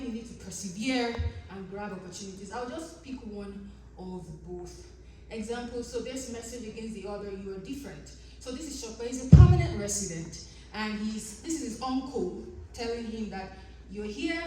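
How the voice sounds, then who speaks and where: A woman's voice: mid-range voice, mellow, slight background noise. A woman with a Nigerian accent, originally a Yoruba speaker, giving an earnest academic conference presentation with frequent ums and restarts. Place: conference room. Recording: echoey room, distant mic.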